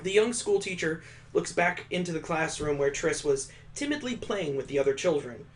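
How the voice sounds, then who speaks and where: A man with a somewhat high voice reads a visual novel aloud as a streamer. His delivery moves between story narration and casual, conversational commentary. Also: lively intonation, moderate pace, faint music behind.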